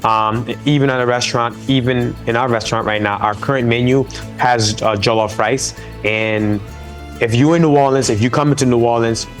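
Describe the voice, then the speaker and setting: A man from Senegal, a chef guest on a live talk show, talking enthusiastically over a remote link. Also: medium pitch. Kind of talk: remote interview.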